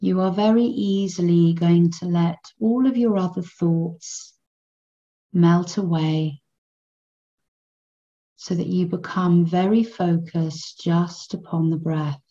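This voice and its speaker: A woman guiding a children's meditation in a gentle, soothing manner, in measured phrases with long pauses between them. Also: fairly low pitch, fairly even intonation, lo-fi sound. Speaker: meditation guide.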